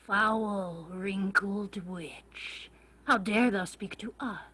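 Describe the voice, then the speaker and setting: A woman's voice: mid-range voice, very slow, bright, forceful tone, breathy edge, heavily acted. A woman playing a contemptuous royal villain, declaiming accusations in classical stage verse. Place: remote video-call staged reading.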